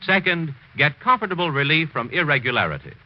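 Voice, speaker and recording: Animated American vintage radio announcer, male. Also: a little high, a little fast, faint music behind. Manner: formal, emphatic, polished sales delivery. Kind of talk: 1940s radio announcing, scripted. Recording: muffled, faintly noisy 1940s radio broadcast.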